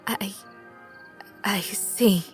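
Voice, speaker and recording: An actress in an audio drama playing a gentle, earnest, literal-minded woman with a formal, precise way of talking. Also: mid-range voice, extremely slow, faint music behind. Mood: hesitant, sincere. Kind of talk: understated audio drama acting.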